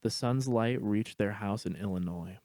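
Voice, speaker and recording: A man's voice: fairly low pitch, moderate pace, darkish tone, dry recording, studio quality. A man reading a scripted story aloud as its narrator.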